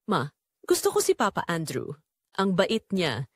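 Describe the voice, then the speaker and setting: A woman's voice: fairly low pitch, huge pitch swings, measured pace, breathy edge, no echo, studio quality, full character voice. Woman voicing a sweet, innocent little girl. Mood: fond.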